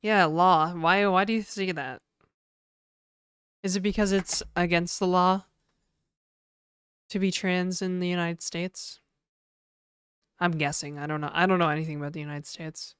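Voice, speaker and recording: A woman from Canada talks to her stream chat in an unhurried, rambling, joking way. Her voice is a bit low and smooth, though hoarse this morning, and the sound is dry and close. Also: steady tone, dry recording. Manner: rambling, joking, slips into mock French and a put-on high e-girl voice. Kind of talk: casual live-stream chat with viewers.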